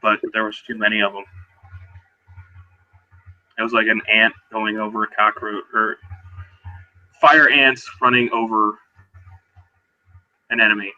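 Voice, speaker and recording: A man playing a weary, guarded but determined werewolf survivor in a tabletop roleplay, speaking haltingly and low-key. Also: little pitch movement, bright, forceful tone, muffled recording. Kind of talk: in-character tabletop roleplay dialogue.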